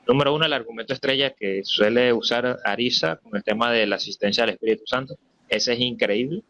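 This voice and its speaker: A man's voice: medium pitch, fairly quick, sharp tone, dry recording. A man taking part in a spontaneous religious discussion, searching hesitantly for precise wording as he reasons. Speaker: debate participant.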